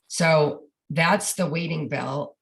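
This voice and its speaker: A woman with a stuffy, congested voice, giving a steady update over a video call during a board meeting. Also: deep voice, slow pace, creaky. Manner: steady, informative, with some fillers. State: stuffy, getting over a cold. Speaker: legislative update presenter.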